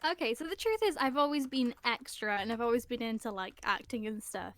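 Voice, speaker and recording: A woman's voice: a little high, brisk. A woman in her twenties, a hobby voice actor, telling her story on a panel in a playful way. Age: 20s. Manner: playful, laughs through story. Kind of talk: casual panel chat.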